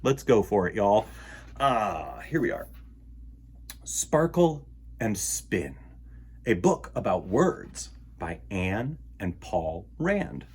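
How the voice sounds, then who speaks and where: A man's voice: lowish voice, slow, husky. A man hosting a children's storytime, reading a picture book aloud and chatting playfully and theatrically to young listeners. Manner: animated, theatrical, playful exclamations and gasps; addresses young listeners directly. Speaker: host of a children's storytime show.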